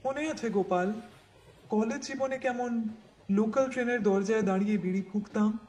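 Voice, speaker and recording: A man acting a high-voiced, brusque, teasing businessman. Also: normal speed, darkish tone, lightly acted. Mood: nostalgic. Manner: familiar, chatty, brusque. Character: jovial, teasing, bossy male business partner and husband. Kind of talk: audiobook dramatized dialogue.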